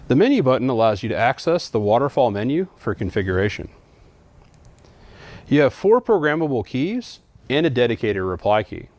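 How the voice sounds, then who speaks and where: A man's voice: mid-range voice, a little mellow, dry recording. A man giving a scripted, methodical product walkthrough in an instructional way, as a trainer would.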